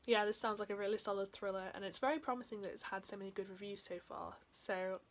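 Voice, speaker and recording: A young woman in her twenties, slightly croaky after being ill, chatting casually about books from a small bedroom, with frequent ums and soft trailing endings. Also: medium pitch, steady tone, fast. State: slightly croaky from a chest infection. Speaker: book vlogger. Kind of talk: casual book haul chat.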